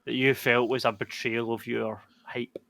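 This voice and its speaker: Bright-voiced man asking questions. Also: mid-range voice, little pitch movement, moderate pace, gravelly, no echo. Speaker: podcast co-host. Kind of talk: casual chat.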